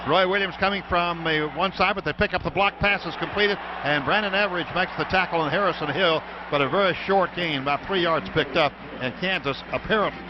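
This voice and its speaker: High-pitched American football play-by-play man, noisy broadcast. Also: a little fast, few pauses, a crowd in the background. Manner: clipped running description, excited on big plays. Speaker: radio play-by-play announcer. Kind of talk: live American football commentary. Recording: dull, noisy radio broadcast from stadium.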